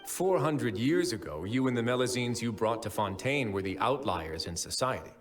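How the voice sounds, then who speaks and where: A man voicing a composed, shrewd, gentlemanly fortress overseer in a video game, articulate and deliberate. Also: medium pitch, expressive, faint music behind, close to an everyday voice. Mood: earnest, assured.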